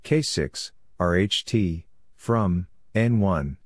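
A man with a lowish voice narrates scripted practice material in short, clipped phrases. His delivery is very slow and measured. The sound is dry, with no room echo. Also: lively intonation. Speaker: Morse code practice narrator. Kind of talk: scripted read-out of radio practice messages, phrase by phrase.

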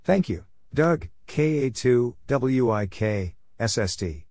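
A man reading scripted practice material as a narrator, measured and spelling out letters and numbers one at a time. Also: mid-range voice, lively intonation, a little mellow, a little hiss or hum. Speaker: narrator of Morse code practice. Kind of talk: scripted readout of callsigns and exchanges.

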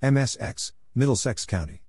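A man reading short scripted items in a plain, deliberate announcing manner, spelling out letters and naming places. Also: medium pitch, animated, a little mellow, slightly rough, no echo, studio quality. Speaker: Morse code practice narrator.

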